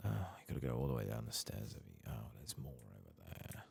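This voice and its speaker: Very deep, gravelly soft-spoken British man. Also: big pitch swings, brisk, a little hiss or hum. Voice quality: soft, hushed, at times whispered. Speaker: ASMR game streamer. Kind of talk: soft-spoken ASMR game commentary, casual.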